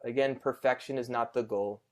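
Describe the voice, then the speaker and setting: Male meditation guide, medium pitch, smooth and clear. Conversational, reassuring, takes his time. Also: fairly even intonation. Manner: gentle, reassuring, unhurried with long pauses.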